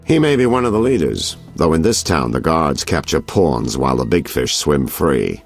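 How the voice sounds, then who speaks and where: An actor playing a sly, knowing private detective in a video game, theatrical and insinuating in manner. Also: male voice, extremely low pitch, very animated, moderate pace, gravelly, faint music behind, full character voice. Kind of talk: video game character acting.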